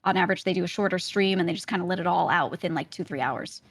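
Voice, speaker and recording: A woman's voice: fairly low pitch, rapid-fire, no echo, clean studio sound. Chatty woman with a light Brooklyn accent. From Brooklyn, New York. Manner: chatty, laughs often, many "like" and "you know" fillers. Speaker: podcast guest. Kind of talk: casual podcast chat.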